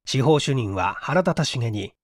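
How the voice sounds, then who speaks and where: A man's voice: medium pitch, expressive, normal speed, no room sound, clean studio sound. A man reading a mystery story as dramatic, suspenseful, theatrical audiobook narration. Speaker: audiobook reader.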